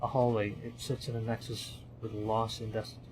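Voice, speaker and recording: A man's voice: lowish voice, flat delivery, fairly quick, background noise. A man from the Philippines, a streamer reading a horror visual novel aloud in a dramatic storytelling manner, with commentary.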